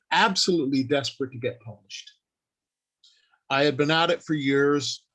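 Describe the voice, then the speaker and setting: A man's voice: mid-range voice, fairly bright tone, rough recording. A man giving an informal instructional talk as a writer, explaining things plainly and stressing key points, partly improvising from notes. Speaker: writer and convention panel speaker.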